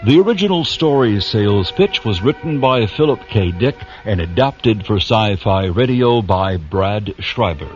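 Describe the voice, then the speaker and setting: A man announcing a radio drama in a measured, theatrical scripted narration. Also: fairly low pitch, lively intonation. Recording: dull lo-fi audio under music. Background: clear music.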